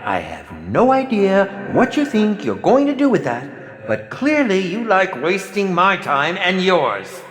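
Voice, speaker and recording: An actor voicing a pompous, campy, sadistic horror film director for a video game, with condescending, drawn-out theatrical delivery. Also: male voice, sits high, huge pitch swings, roomy sound, in a large hall, full character voice. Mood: exasperated, scolding. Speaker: game announcer.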